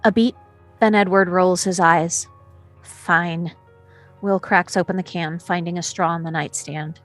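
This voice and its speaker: Lower-voiced woman reading screenplay directions. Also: fairly even intonation, measured pace, soft background music. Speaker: stage directions reader. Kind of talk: scripted narration of screenplay action.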